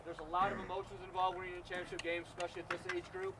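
Fast-talking man speaking from far off, noisy. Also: high voice, level tone. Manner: encouraging, addressing a group. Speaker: youth league organizer. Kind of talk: informal award-ceremony speech. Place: outdoors on a sports field at night. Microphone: camera mic at a distance. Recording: distant outdoor speech, heavy noise.

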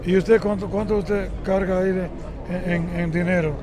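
A man's voice: high-pitched, flat delivery, moderate pace. A man with a Dominican accent interviewing people in a busy city plaza, asking short, amazed questions. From Dominican Republic. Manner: curious, amazed, asks many short questions. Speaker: street interviewer. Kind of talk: casual street interview. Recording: busy outdoor crowd noise. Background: crowd chatter and traffic.

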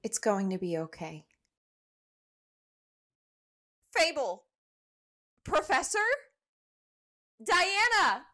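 A woman playing a shaken but determined young woman, telling grim events in an audio drama with halting, deliberate delivery. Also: high voice, huge pitch swings, bright, forceful tone, heavily acted. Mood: urgent, concerned. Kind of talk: audio drama narration and dialogue.

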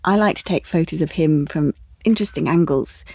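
Young British woman, audio drama, low voice, gravelly fry, candid and intimate, noisy recording. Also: brisk, a little mellow, no echo, heavily acted. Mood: nostalgic. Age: young adult. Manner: confiding, intimate storytelling. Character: candid young woman recalling a teenage romance. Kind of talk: audio play monologue with dialogue.

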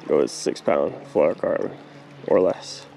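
A young man filming himself fishing on a riverbank with a head-mounted camera, chatting casually and getting excited over catches. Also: low voice, moderate pace, creaky, low-quality recording. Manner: excitable, swears when fish strike. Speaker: fishing vlogger. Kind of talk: casual running commentary while fishing. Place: outdoors on a riverbank. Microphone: head-mounted action camera. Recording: music playing under the voice.

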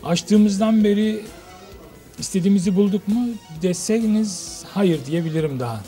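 A man in his forties talks informally as an interviewed business owner. His pitch is a little high and he repeats himself with verbal tags. Music plays clearly behind him. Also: moderate pace, warm tone. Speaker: restaurant owner being interviewed. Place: restaurant dining room. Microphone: handheld reporter microphone.

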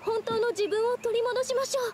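A shy, gentle young woman painter from an anime game. Her voice sits very high and she speaks softly and politely, with earnest hesitation. Faint noise and ambience lie behind her. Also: steady tone, brisk. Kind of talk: Japanese anime game voice acting.

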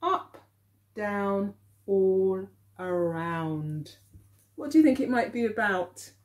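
A middle-aged woman, an early years teacher, reads a picture book aloud to young children, expressively and deliberately, with questions for them. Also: mid-range voice. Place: living room at home, indoors.

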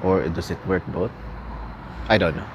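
A man's voice: sits low, steady tone, moderate pace. A young man who speaks Tagalog as his first language, chatting casually with viewers on a live stream outdoors at night. He laughs often and repeats words while he talks. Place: outdoors at a gas station at night. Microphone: phone camera at arm's length. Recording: faint outdoor noise. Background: traffic and city ambience.

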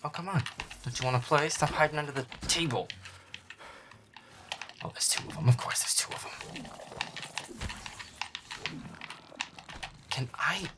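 A man playing a feisty, grumbling werewolf boyfriend, muttering sweary, exasperated commentary over a scary video game in a breathy, gravelly voice. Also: on the high side, brisk, background noise, close to an everyday voice. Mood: angry outbursts. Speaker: gameplay performer. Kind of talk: hushed in-character gameplay commentary.

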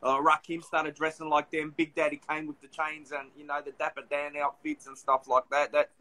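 A man hosts a relaxed interview from a small room, talking into his phone. His voice sits a touch higher than most men's, and he circles around his questions with many restarts. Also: fairly quick, rough recording. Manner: laid-back, rambling questions with many restarts. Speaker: host. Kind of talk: casual interview on a live video call. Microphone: phone front camera.

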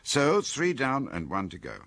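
An actor playing a dry, wry confidant in a radio drama, with a gravelly, husky voice. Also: male voice, mid-range voice, wildly swooping pitch, normal speed, a bit bright, no room sound. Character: dry, wry male confidant prompting a detective's story.

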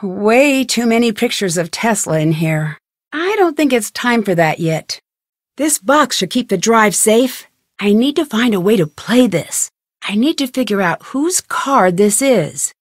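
A young woman detective in an adventure game, quick-witted and determined. She talks to herself inquisitively as she puzzles things out, in a medium-pitched voice. The sound is dry, with no room to it. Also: very animated, dry recording. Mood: musing, focused. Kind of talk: scripted video game voice lines, thinking aloud.

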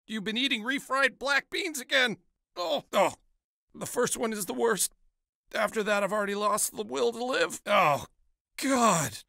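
An actor playing a put-upon, scatterbrained suburban dad in a cartoon video game, talking in a casual, rambling way. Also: male voice, very high voice, expressive, a little slow, creaky, sharp tone, dry recording, clean studio sound, full character voice. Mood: exasperated, pleading. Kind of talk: scripted video game voice lines.

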